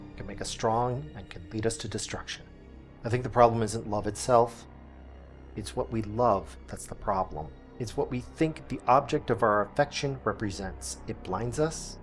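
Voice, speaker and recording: Man acting as earnest, deliberate radio host over music. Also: fairly low pitch, lightly acted. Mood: begrudging, earnest. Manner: deliberate, dramatic pauses, emphatic. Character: earnest, begrudging small-town radio host in surreal town. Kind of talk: scripted radio monologue.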